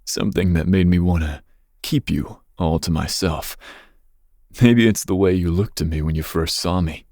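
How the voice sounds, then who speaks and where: Man playing a deep, husky, seductive mafia heir. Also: darkish tone, no room sound, clean studio sound. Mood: seductive, intrigued. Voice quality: breathy, husky low voice. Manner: smooth menace, slow teasing pauses. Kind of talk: ASMR roleplay, intimate second-person monologue.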